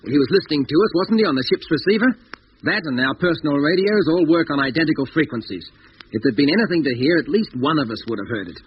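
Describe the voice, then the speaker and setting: An actor playing a stubborn, sceptical scientist on a spaceship crew in a 1950s radio drama, argumentative, dismissive and emphatic. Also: male voice, a little high, expressive, fast, close to an everyday voice. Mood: irritated, accusing. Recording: dull, hissy 1950s radio recording.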